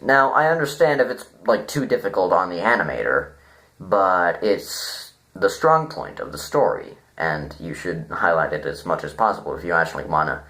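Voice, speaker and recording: A man giving a casual, unscripted review, halting and rambling as he thinks aloud. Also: deep voice, expressive, bright, forceful tone. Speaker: online story reviewer.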